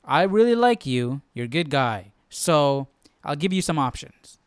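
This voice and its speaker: A man's voice: on the high side, very animated, measured pace, clear, resonant tone, no echo. A man hosting a live Bible study, commenting casually and thinking aloud, often checking himself with a questioning tag. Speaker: host.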